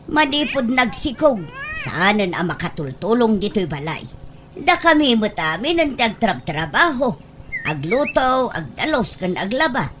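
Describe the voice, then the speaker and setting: An actress playing a frank, scolding elder sister in an Ilocano comedy radio drama. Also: female voice, a little high, sing-song, measured pace, fairly bright tone, slight background noise. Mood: frank, insistent.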